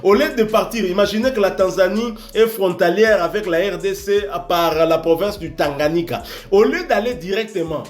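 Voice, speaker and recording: A male journalist hosting a studio show, speaking in a measured way to his colleagues and listeners. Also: high-pitched. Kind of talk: studio commentary. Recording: roomy studio echo.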